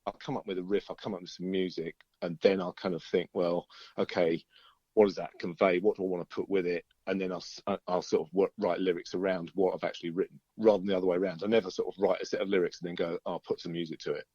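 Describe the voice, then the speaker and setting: A man's voice: deep voice, level tone, quick pace, dark tone, no room sound, muffled recording. A middle-aged British man, a musician chatting casually in an interview, rambling through stories in a chatty way. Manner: chatty, rambling storyteller, often chuckling.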